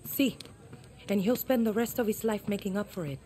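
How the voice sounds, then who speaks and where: A woman's voice: mid-range voice, normal speed, mellow, slight background noise, close to an everyday voice. A woman playing a tough, determined young guerrilla leader in a video game, firm and commanding. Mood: earnest, defiant. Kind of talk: video game cutscene acting.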